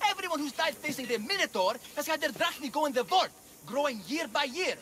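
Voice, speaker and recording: A man's voice: extremely high pitch, lively intonation, brisk, a bit bright, a little hiss or hum. An actor playing a jovial, greedy male arena showman in a video game, boisterous and salesy.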